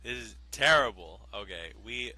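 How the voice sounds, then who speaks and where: A man's voice: on the low side, expressive, moderate pace, bright, forceful tone, poor audio. A man hosting a let's play, reading game text aloud and cracking crude jokes between lines. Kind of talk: casual gameplay commentary and reading aloud.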